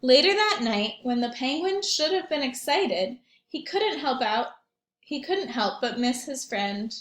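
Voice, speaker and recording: A woman teacher reading aloud and talking to young children in an expressive storytelling manner, with questions and asides. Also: high-pitched, a little slow, echoey room. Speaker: primary school teacher reading aloud to pupils.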